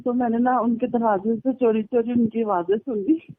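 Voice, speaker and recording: A woman's voice: on the high side, fairly even intonation, normal speed. Woman, casual storyteller on a recorded phone call; tinny, narrow sound, dry with no room echo. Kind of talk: casual phone chat, recounting personal stories. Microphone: telephone line.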